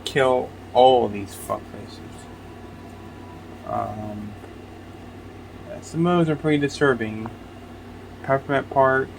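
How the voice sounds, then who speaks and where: A man's voice: medium pitch, slow pace, creaky, long pauses, slight background noise, poor audio. A man giving casual, blunt, foul-mouthed off-the-cuff reaction commentary. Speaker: reaction video host.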